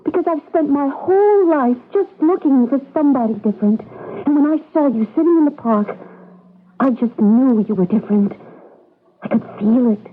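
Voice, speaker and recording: A woman's voice: high voice, animated, moderate pace, slight background noise. An actress playing a desperate, yearning young woman in a radio drama, pleading and earnest. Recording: narrowband 1980s radio broadcast.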